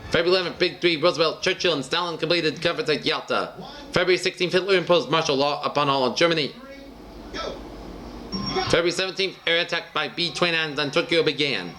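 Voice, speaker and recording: A man with a slightly high voice narrates a newsreel. He reads scripted, dated headlines like an announcer, in short clipped phrases, moving from one item to the next. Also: quick pace, pushed and bright.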